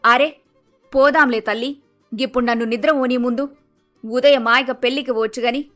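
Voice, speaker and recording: A woman's voice: a little high, steady tone, moderate pace, fairly bright tone, soft background music, lightly acted. A woman voicing a blunt, bossy middle-aged village mother-in-law with an emphatic Telangana Telugu accent, in a cartoon story. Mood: grumbling. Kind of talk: acted dialogue in animated moral story.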